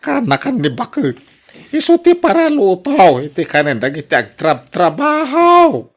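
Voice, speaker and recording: Lively older Ilocano uncle, played by a woman. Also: medium pitch, measured pace. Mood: easygoing. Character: genial older uncle, employer who hosts drinks. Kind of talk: radio drama acting.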